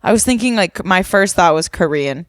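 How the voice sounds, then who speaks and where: Young woman on a podcast, lowish smooth voice, dry close sound, rambling musing chat. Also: expressive, moderate pace, no echo. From Boston. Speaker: podcast co-host. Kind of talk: casual chat. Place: Miami home.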